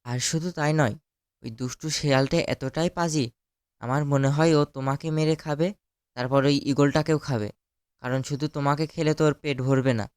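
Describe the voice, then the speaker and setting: A man plays a watchful forest animal in a kids' cartoon. The voice is clear and dry, of medium pitch, with an earnest, helpful manner. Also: fairly even intonation, fairly quick, darkish tone, no echo, lightly acted. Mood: earnest, warning. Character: helpful, watchful animal friend warning of danger. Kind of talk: children's cartoon voice acting.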